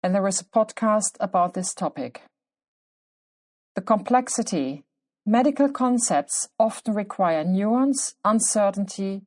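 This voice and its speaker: A middle-aged woman, a clinician whose first language is German, speaking English with a German accent as she narrates her own podcast in a measured, deliberate way with emphatic pauses. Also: medium pitch, a little mellow. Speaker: podcast host. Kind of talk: scripted solo podcast narration.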